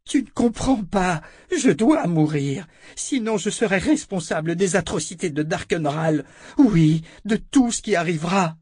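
A man's voice: high voice, lively intonation, a little slow, darkish tone, husky, no echo, clean studio sound, voice pushed up, heavily acted. A man voicing a desperate young woman who pleads in anguish with a broken, tearful voice. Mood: anguished pleading.

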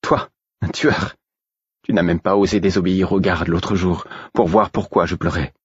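A man's voice: sits low, steady tone, moderate pace, husky, no echo, muffled recording. A man voicing a furious, wounded young woman in an audiobook, indignant, scornful and biting. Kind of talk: audiobook character acting.

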